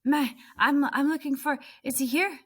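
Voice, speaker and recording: A woman's voice: sits high, moderate pace, pitched above the performer's own voice, close to an everyday voice. Woman reading as an anxious young man, pleading. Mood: anxious, halting. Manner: stammering, pleading when distressed. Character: young refugee man searching anxiously for his brother.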